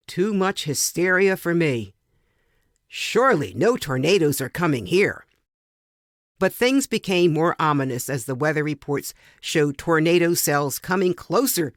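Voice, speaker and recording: Female story podcast reader, fairly low-voiced, measured and dramatic, recorded dry without room sound. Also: dry recording. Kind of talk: expressive reading of a first-person story.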